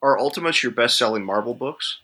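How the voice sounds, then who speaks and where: A man's voice: mid-range voice, animated, fairly quick, sharp tone. A man chatting in an informal, conversational way as a panelist on a comic book podcast. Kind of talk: casual comic book chat.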